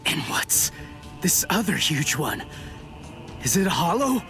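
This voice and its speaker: An actor in an English anime game dub playing a hot-headed, stubborn teenage Soul Reaper, brash and blunt. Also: male voice, on the high side, very animated, unhurried, music in the background. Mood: startled, wary.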